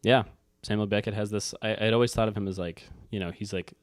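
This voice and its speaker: Smooth low-voiced man thinking aloud. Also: steady tone, fast talker, no room sound, clean studio sound. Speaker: book talk co-host. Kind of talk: casual unscripted book chat.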